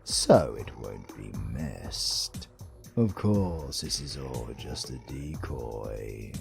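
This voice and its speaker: Deep, creaky British supervillain played by a man. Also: lively intonation, over music, exaggerated voice. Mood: smug, scheming. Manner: slow, teasing, theatrical. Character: suave, sardonic male supervillain, playfully flirtatious. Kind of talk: romantic comedy audio roleplay.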